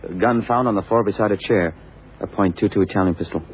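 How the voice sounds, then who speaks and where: An actor playing a brisk, plain-spoken detective's assistant in an old radio drama, informal and direct. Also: male voice, fairly low pitch, natural voice. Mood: insistent. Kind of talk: 1960s-70s radio drama acting. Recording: muffled old radio broadcast, faint hiss.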